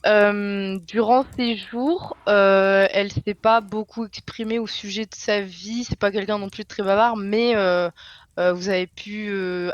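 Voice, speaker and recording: A woman's voice: mid-range voice, dry recording, lo-fi sound. A woman player hesitantly presenting her tabletop game character, with many fillers.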